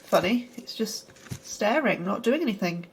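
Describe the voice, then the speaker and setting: A woman's voice: medium pitch, animated, normal speed, creaky, breathy edge. A woman playing an anxious, curious scientist in a sci-fi audio drama, wry, hesitant and full of questions. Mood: uneasy. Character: anxious, curious scientist on an unsettling virtual tour.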